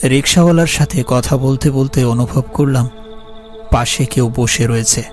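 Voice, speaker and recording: A man's voice: medium pitch, normal speed, a little mellow, soft background music, natural voice. A man acting as a haunted, guilt-ridden young man, narrating his horror story in a brooding, fearful way. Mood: uneasy, suspenseful. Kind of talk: scripted dramatic horror narration.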